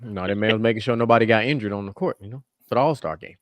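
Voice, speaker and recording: A man's voice: lowish voice, rapid-fire, dry recording, lo-fi sound. A man hosting a podcast in loose, casual chat, swearing and laughing with his co-hosts. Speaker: podcast host.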